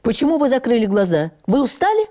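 Woman voicing an impulsive, high-pitched eccentric male composer. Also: wildly swooping pitch, quick pace, dark tone, heavily acted. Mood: probing. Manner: emphatic, capricious, exclamatory. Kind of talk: dramatised memoir reading for radio.

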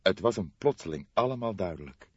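A man's voice: low voice, lively intonation, brisk, husky, no echo, lo-fi sound. A man reading a crime novel as scripted audiobook narration.

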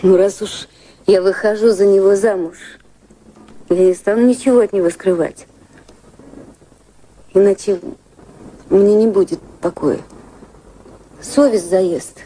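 A woman's voice: mid-range voice, measured pace, long pauses, warm tone, close to an everyday voice, low-quality recording. An actress playing an earnest, confiding, guilt-ridden woman of good society in a Russian radio drama. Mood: anxious, earnest. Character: troubled well-bred woman, guilt-ridden, engaged to be married.

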